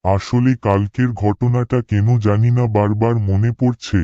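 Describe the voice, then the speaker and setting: A man's voice: fairly low pitch, fairly even intonation, a little slow, warm tone, no echo, muffled recording, lightly acted. A man voicing a dutiful, earnest village cremation-ground worker in a horror cartoon. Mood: calm. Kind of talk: cartoon dialogue and spoken thoughts.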